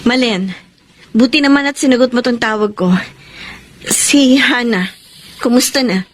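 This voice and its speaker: A woman's voice: on the high side, measured pace, a little hiss or hum, natural voice. A woman playing an anguished, indignant protector of a child in a melodramatic Filipino radio drama, with a Filipino accent. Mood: worried, pleading. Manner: emotional, pleading, scolding.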